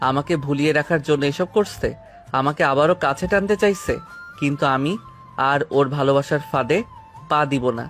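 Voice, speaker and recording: A man's voice: a little high, brisk, a bit bright, natural voice. A man acting a proud, hurt young bodyguard, formal and aloof, in a romantic audio story. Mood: resentful. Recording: music behind the voice.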